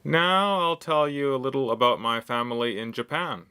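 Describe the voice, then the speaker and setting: A man's voice: mid-range voice, normal speed, pushed and bright, smooth. A man in his thirties from Canada's West Coast, talking about himself in simple sentences for English learners, with frequent "uh" fillers. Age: 30s. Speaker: English teacher. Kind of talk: slow, clear lesson monologue for learners.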